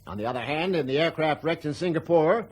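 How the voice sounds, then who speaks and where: A man's voice: a little high, expressive, husky. An actor playing a reassuring, defensive aircraft company spokesman in a radio drama, explaining formally and carefully. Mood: defensive, explanatory. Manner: measured, formal explaining with hedged emphasis.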